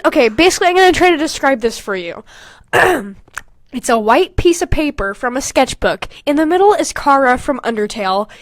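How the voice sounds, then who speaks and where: A young woman with a high-pitched voice, recorded dry and close. She reads and comments like a casual podcast host, animated and giggly, mocking herself with plenty of swearing. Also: no room sound. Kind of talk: casual comedic commentary while reading own old fanfiction aloud.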